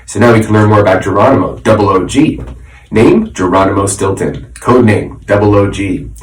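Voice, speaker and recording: A man reading a children's story aloud in an animated, dramatic way, with explanatory asides. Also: lowish voice, normal speed. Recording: roomy echo, distant microphone.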